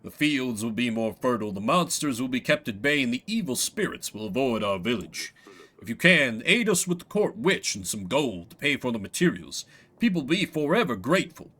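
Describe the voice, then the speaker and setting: A man's voice: medium pitch, sing-song, normal speed, sharp tone, voice pushed down. A man voicing an earnest peasant in a fantasy game, solemnly petitioning his ruler. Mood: earnest pleading. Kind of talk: acted narrative game dialogue.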